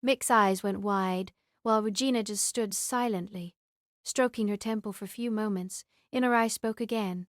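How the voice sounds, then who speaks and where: Female audiobook narrator, mid-pitched, scripted fiction storytelling, very dry close recording. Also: fairly even intonation, normal speed, no echo.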